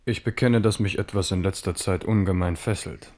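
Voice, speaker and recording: A man plays an embattled king with a low voice. He is proud and wry, putting his questions with pointed irony and scepticism, in the style of a radio play. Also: steady tone, moderate pace, darkish tone, lightly acted. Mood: wary, ironic. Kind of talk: German radio play acting.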